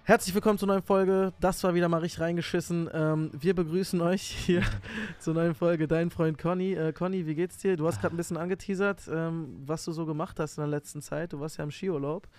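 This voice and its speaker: A young man co-hosting a podcast, energetic and slangy with crude banter. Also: a little high, brisk, hardly any pauses. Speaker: podcast co-host. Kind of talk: casual chat.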